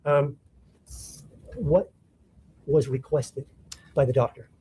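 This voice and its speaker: Man, trial attorney, mellow dark tone, slightly high pitch, halting questions. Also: slightly rough, rough recording. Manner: halting, many ums and rephrasings. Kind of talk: witness examination. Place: courtroom.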